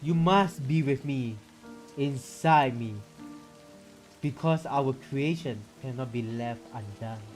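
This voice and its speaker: A man's voice: mid-range voice, takes it slowly, a little mellow, pitched below the performer's own voice, lightly acted. A man voicing a possessive dead woman writing solemn love letters in a horror visual novel, with music behind him.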